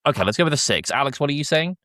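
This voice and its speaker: A man's voice: on the high side, expressive, racing, sharp tone, no room sound, clean studio sound. A man hosting a podcast, chatting casually and recapping episodes in a jokey, informal way. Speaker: podcast host.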